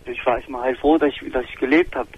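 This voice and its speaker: A man's voice: mid-range voice, steady tone, quick pace, breathy edge. A man who is a native Romani speaker, calling a radio show over the telephone and giving an emphatic personal account. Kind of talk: personal account in casual chat. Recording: thin, narrow telephone line.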